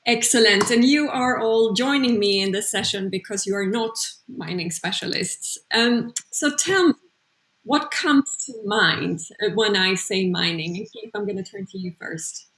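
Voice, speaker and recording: A woman moderating an online panel discussion, brisk and encouraging. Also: mid-range voice, a bit bright. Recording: roomy echo.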